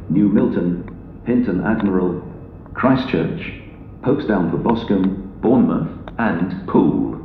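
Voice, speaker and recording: A low-voiced man makes formal railway platform announcements in measured, separate phrases. His voice comes over a muffled public address system with heavy echo. Clear station noise runs behind him. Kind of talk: pre-recorded platform announcement, pieced together. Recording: echoey station PA, muffled, noisy.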